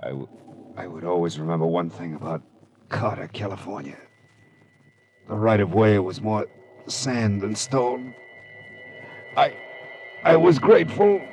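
Casting: male hard-boiled gumshoe, wry and terse, fairly low voice, vintage radio crime drama. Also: animated, a little slow, long pauses. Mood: wry narrating. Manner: dry, terse, wisecracking. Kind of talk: 1940s radio drama acting and narration. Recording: noisy old radio recording.

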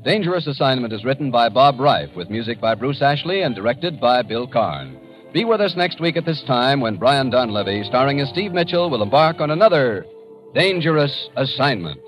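A man's voice: medium pitch, sing-song, moderate pace, muffled recording. A man announcing in a scripted 1950s radio style. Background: loud music.

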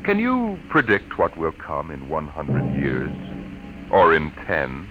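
A man announcing an old-time radio show in a portentous, drawn-out, dramatic style. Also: a bass voice, wildly swooping pitch, over music.

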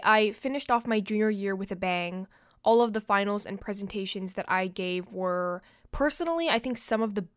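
A young woman talks through her solo podcast in a chatty, confiding way, full of little fillers. Her nose is slightly stuffed up, yet the voice stays smooth and clear. The recording is close and dry, with no room sound. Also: medium pitch, no room sound. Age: young adult. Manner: chatty and confiding, many "like" and "you know" fillers. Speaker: podcast host. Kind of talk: casual solo podcast chat.

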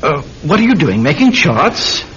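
A man's voice: very animated, moderate pace, fairly bright tone. An actor playing an eager, inquisitive young man, an amateur sleuth, probing hesitantly in a classic radio drama. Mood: curious. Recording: dull, rumbling, noisy old radio recording.

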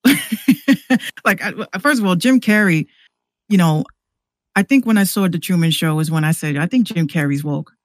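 A man with a slightly high voice chats as a guest on a podcast. His talk is loose and rambling, circling back over words as he thinks, and the sound is close and dry. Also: normal speed, long pauses, dry recording. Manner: rambling, repeats words while working out thoughts. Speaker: podcast guest and music maker. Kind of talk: casual conversational podcast talk.

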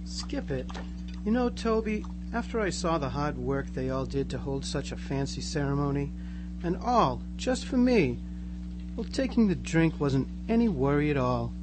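A man's voice: on the high side, very animated, moderate pace, noisy background. A man playing an eerily reassuring, converted small-town teenage boy in a radio drama, earnest and gushing. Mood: serene, reassuring. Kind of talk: live amateur radio drama acting.

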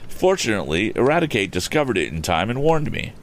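A man voicing a bold, cool-headed teenage boy inventor who talks in a crisp, commanding way in a storybook reading. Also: lowish voice, sing-song, fast talker, pitched above the performer's own voice. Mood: stern. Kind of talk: audiobook character voice.

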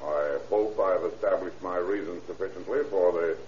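A man playing a courteous, methodical defense attorney in an old-time radio courtroom drama, speaking formally and deliberately. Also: deep voice, a little mellow, close to an everyday voice. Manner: formal, deliberate, politely lawyerly. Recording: echoey, muffled old radio recording, faint hiss.

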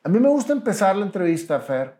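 A Mexican man with a slightly high voice hosts an interview like a chat between friends. He speaks earnestly and in a motivational way. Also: very animated, fast, mellow. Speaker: interviewer, show host.

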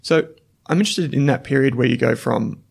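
Gravelly-voiced interview host. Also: male voice, medium pitch, brisk. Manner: brief murmured acknowledgements, longer questions. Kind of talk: interview questions.

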